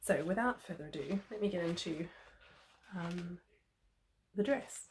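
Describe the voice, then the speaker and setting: A woman with a New Zealand accent, slightly hoarse from a cold, chatting casually as she presents a sewing tutorial. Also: on the low side, animated, unhurried, slight background noise. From New Zealand, Christchurch. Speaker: sewing vlogger.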